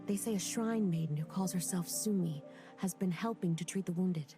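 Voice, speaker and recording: A woman's voice: fairly low pitch, natural voice. A woman voicing a loyal young female military officer who briefs her leader in a game cutscene, over game music. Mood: briefing. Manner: brisk, respectful, wry. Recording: game audio with loud music.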